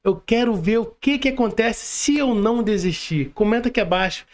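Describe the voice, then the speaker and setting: A man's voice: sits high, fairly quick. A Brazilian man hosting a podcast casually, with an informal, emphatic manner and repeated phrases. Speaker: podcast host.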